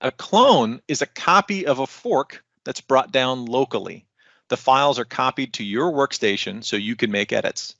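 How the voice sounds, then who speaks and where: Gravelly man giving a tech presentation. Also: medium pitch, lively intonation, normal speed, a bit bright, no room sound, lo-fi sound. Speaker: technical content developer presenting. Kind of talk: online conference presentation, explanatory.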